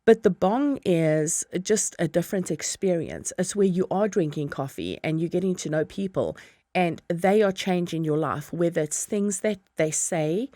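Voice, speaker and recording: Here is a South African woman sitting in as a podcast guest. She talks in a lowish voice, chatty and often laughing, and she acts out what she once thought. The recording is dry and close. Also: lively intonation, normal speed, dark tone, dry recording. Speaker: podcast guest, business owner. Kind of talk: casual interview chat.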